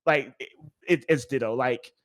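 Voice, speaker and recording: A man's voice: mid-range voice, moderate pace. A man hosting a podcast in casual, opinionated chat, speaking emphatically and repeating words for emphasis. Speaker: podcast host.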